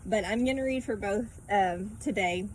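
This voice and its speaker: A woman's voice: mid-range voice, normal speed, darkish tone, a little hiss or hum, poor audio. A woman reading a picture book aloud to children, with casual asides and simple questions to the listener.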